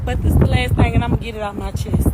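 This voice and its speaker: Blunt, emphatic woman with a slightly high voice; loud wind noise on an outdoor mic. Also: fairly even intonation, fast talker.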